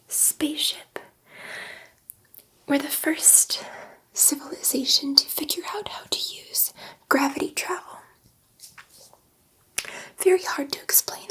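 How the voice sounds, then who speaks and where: Whispering, gentle alien scientist played by a woman. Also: high-pitched, heavily acted. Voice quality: soft breathy whisper. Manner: hesitant, rambling, confiding. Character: gentle, nervous, chatty female alien scientist, friendly to humans. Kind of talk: whispered ASMR sci-fi roleplay.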